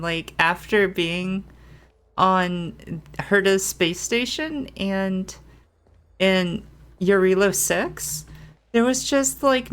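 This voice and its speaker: Unhurried woman chatting through a game. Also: mid-range voice, a bit bright. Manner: playful, chatty, halting asides. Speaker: gameplay streamer. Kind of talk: casual playthrough commentary with read-aloud game text.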